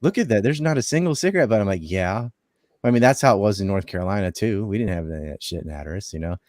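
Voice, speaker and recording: A man's voice: lowish voice, animated, very fast, a little mellow, no echo. A man on a podcast panel chatting casually, with a dry, wry, offhand manner. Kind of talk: casual chat.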